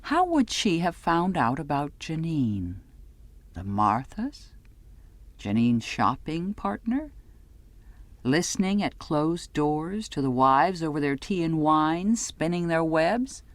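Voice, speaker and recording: A woman reading scripted first-person literary fiction as an audiobook narrator, with measured, dramatic phrasing. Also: deep voice, big pitch swings, no echo.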